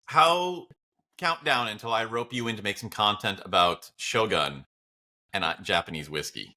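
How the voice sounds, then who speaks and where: A man's voice: fairly low pitch, moderate pace, sharp tone. An American man bantering casually as a co-host, playful and wry, swearing freely. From United States. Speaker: podcast co-host.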